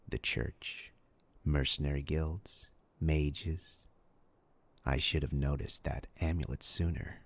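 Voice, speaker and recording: A man voicing a sinister, arrogant demon in a dark roleplay monologue, taunting and seductively menacing, with a husky, growling voice. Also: very deep voice, full character voice. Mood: taunting menace. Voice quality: gravelly, husky, breathy growl. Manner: slow, taunting, theatrical.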